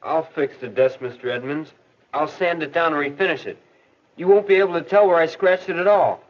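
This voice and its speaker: A man's voice: on the high side, brisk, natural voice. A young American man playing a polite, earnest, self-conscious teenage schoolboy in a 1940s educational film. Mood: polite, anxious. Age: teenager or young adult. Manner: earnest, deferential. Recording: dull, lo-fi 1940s film soundtrack.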